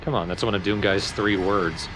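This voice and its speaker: Wry low-voiced man chatting over a game. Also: steady tone, normal speed, fairly bright tone, slight background noise, low-quality recording. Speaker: co-commentator. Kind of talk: casual let's play banter.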